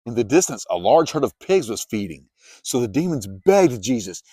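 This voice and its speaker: A man narrates scripture in an expressive storytelling style. His pitch moves widely as he goes, and the sound is dry, with almost no room on it. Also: moderate pace, no echo. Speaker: narrator, Bible reader. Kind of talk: dramatized scripted Bible narration.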